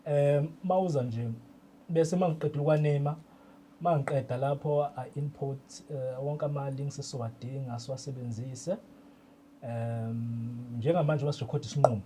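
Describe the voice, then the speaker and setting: A man's voice: medium pitch, steady tone, a little fast, mellow, slight background noise. A man with a South African accent explaining things informally as a radio drama technician, switching often into English. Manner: mixes English into Zulu. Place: indoor radio studio.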